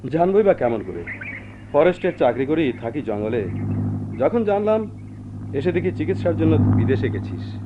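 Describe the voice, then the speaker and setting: An actor playing a jovial, easygoing young forest officer in a radio drama, with a breezy, expressive delivery. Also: male voice, a little high, moderate pace, pauses often. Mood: concerned. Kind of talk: Bengali radio drama acting. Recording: muffled old radio drama recording, background noise.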